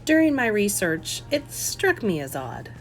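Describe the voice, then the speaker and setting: A woman's voice: mid-range voice, sing-song, a little slow, soft background music. A woman voicing an earnest, persistent and polite widow playing amateur sleuth in an audiobook, with a lilting, emphatic delivery. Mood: inquisitive, polite. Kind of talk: audiobook character voice.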